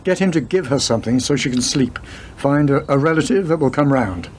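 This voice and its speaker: A man acts a police inspector in a radio drama, sober and used to command. The delivery is firm and measured at a medium pitch, with light noise behind it. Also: lively intonation, close to an everyday voice. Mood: brisk, commanding. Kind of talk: radio play acting.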